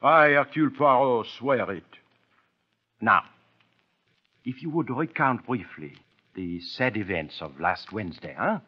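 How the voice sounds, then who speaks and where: An actor playing a courteous, probing detective with a French-speaking Belgian accent and halting, formal phrasing in a radio drama. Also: male voice, medium pitch, lively intonation, husky. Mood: soothing, formal. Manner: halting, formal, questioning with small interjections. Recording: dull old radio recording.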